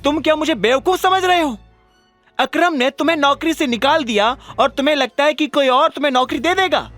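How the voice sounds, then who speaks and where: Man voicing a shrill, furious middle-aged woman. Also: fairly quick, dry recording, faint music behind. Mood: scornful anger. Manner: shouting, taunting. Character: furious, scornful middle-aged mother-in-law, shrill and bullying. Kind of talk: Hindi audio drama character acting.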